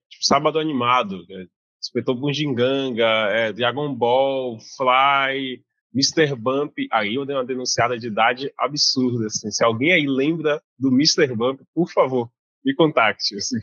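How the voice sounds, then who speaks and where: A man's voice: mid-range voice, a bit bright, no room sound, dull audio. A man from Bahia, a guest author talking casually in an interview, with many fillers and restarts. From Bahia, Brazil.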